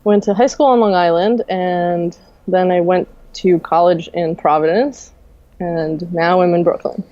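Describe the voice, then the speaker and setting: Young woman from russia, low mellow voice, relaxed podcast chat, dry dull lo-fi sound. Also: measured pace, dry recording. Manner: relaxed, soft-spoken, many fillers and laughs. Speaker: game designer, guest. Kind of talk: casual podcast interview.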